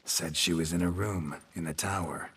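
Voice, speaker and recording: An actor playing a gruff, cynical monster hunter in a game, terse and deadpan, with a gravelly voice. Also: male voice, low-pitched, normal speed, full character voice. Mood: dry, sardonic. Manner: terse, deadpan, clipped sentences. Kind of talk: video game cutscene acting.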